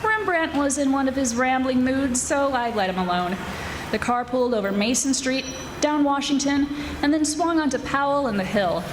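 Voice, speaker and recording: Woman acting a brisk, wry lady detective, echoey stage. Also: high voice, noisy background, close to an everyday voice. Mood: measured narration. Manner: brisk, wry, hardboiled storytelling. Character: brisk, wisecracking female private detective narrating her case. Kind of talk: 1940s radio mystery acting. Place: live stage radio theatre performance. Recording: echoey stage recording with music.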